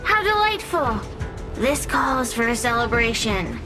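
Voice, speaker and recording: A woman's voice: a little high, very animated, unhurried. A woman voicing a scheming, theatrical spirit villain in a video game, with a mocking, drawling delivery. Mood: mocking, gloating. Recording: loud music behind.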